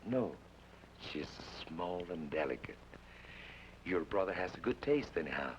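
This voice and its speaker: An actor playing a calm, fatherly older Mexican innkeeper who speaks slow and measured, in 1950s radio style. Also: sits low, heavily acted. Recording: dull old radio broadcast with hiss.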